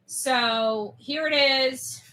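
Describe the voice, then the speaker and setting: A woman talks to her online audience in a forceful, bright voice pitched a little high. Her delivery is informal, indignant and sarcastic, like a show host arguing her own side. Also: extremely slow, low-quality recording.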